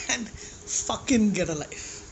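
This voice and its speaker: Blunt, slightly high-voiced man ranting. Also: huge pitch swings, drawn-out words, creaky, slight background noise, low-quality recording. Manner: blunt, exasperated, swearing at critics; rambling, with restarts and asides. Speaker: food vlogger. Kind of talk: casual rant to camera while eating.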